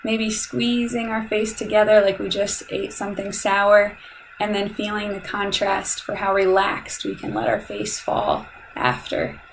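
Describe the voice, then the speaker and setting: A woman guides a meditation at a very slow pace, with a medium-pitched voice. Her delivery is calm and soothing, giving measured instructions with long pauses. A light layer of background noise sits behind her. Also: low-quality recording.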